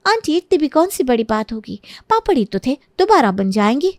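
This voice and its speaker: Entitled young wife for cartoon fable: high voice, lazy, breezy, complaining manner. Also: female voice, big pitch swings, moderate pace, no room sound, studio quality. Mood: breezy, dismissive. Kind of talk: Hindi animated moral story acting.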